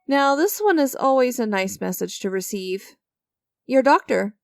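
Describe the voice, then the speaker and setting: A woman's voice: fairly high pitch, lively intonation, unhurried, creaky, slight background noise. A woman playing an enigmatic, creepy stranger who strikes sinister deals in a dramatic audio roleplay, teasing and theatrical with a smug, coaxing manner. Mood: smug, playful.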